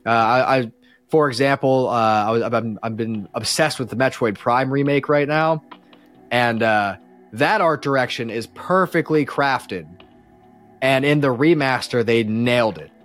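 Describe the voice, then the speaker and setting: A man chats as a podcast guest, with music in the recording. His voice sits at a medium pitch. He is opinionated and enthusiastic, wandering through his explanations in a loose, conversational style. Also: measured pace, fairly bright tone. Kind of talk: casual podcast discussion.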